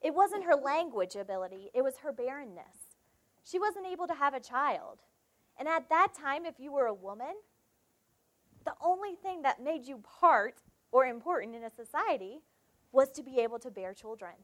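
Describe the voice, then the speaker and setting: A woman's voice: high voice, expressive, moderate pace. A young woman from South Carolina with a Southern accent, giving a devotional sermon and telling a personal story, acting out the dialogue in it. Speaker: preacher.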